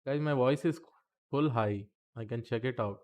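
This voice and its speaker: A man's voice: mid-range voice, moderate pace, darkish tone, dull audio. A man teaching statistics in a live-streamed lesson, chatty and talking to the chat between points, saying okay often.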